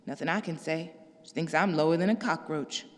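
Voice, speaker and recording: A woman reading a novel aloud, voicing a blunt, plain-spoken working man in a low, slow drawl. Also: lightly acted. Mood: weary. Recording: long reverb.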